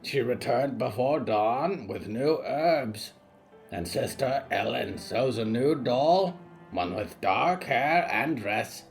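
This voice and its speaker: A male narrator voicing a curious, gossipy raven-demon that croaks and talks about itself in the third person. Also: mid-range voice, lively intonation, slow, soft background music. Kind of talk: audiobook character voice.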